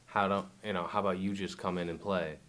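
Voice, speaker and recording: Very deep-voiced laid-back man. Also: fairly even intonation, fairly quick, fairly bright tone. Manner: laid-back, rambling with many fillers. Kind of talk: casual interview. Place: documentary interview.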